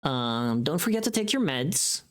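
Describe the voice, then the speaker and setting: A woman's voice: deep voice, sing-song, measured pace. A woman livestream host chatting casually, rambling playfully and muttering to herself as she goes.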